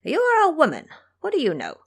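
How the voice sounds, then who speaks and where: A woman's voice: sits high, wildly swooping pitch, brisk, vocal fry, pitched above the performer's own voice, exaggerated voice. A woman voicing a cocky, teasing male monkey king in an audio drama, boastful, preachy and theatrical in manner. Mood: mocking.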